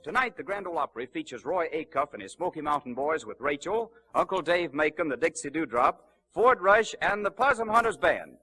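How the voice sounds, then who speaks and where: Forceful high-pitched 1930s radio announcer man. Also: big pitch swings, a little fast, soft background music, rough recording. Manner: emphatic, promotional delivery. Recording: vintage 1930s radio broadcast recording.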